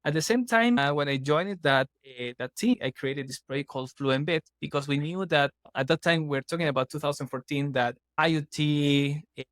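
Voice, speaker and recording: A man's voice: on the high side, moderate pace, hardly any pauses, fairly bright tone, dry recording. A man from Chile speaks English with an earnest, explaining manner, often restarting his sentences. His voice is recorded dry and close on a podcast microphone. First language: Spanish. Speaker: guest, startup CEO. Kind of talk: casual podcast interview.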